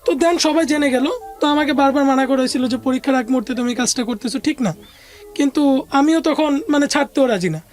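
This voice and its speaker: High-voiced Bangladeshi woman telling her story. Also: brisk, darkish tone, faint music behind. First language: Bengali. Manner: conversational, mixes in English words. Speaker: interview guest. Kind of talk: recounting a personal life story.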